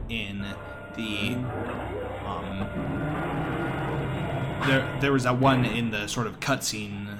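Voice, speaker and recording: A man giving casual, explanatory live commentary as he speedruns a video game. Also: lowish voice.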